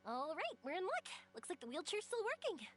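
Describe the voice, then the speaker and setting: A woman playing a kind, reassuring young adventurer in a video game, speaking in a gentle, caring way. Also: very high-pitched, huge pitch swings, brisk, a little hiss or hum, full character voice. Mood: relieved.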